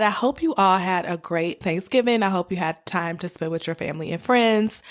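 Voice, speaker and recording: Woman podcast host w a cold, bit raspy, lowish voice, chatty and encouraging, talks right to listeners. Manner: encouraging, direct address to listeners. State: has a cold with cough and sore throat. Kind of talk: personal finance podcast monologue, conversational coaching.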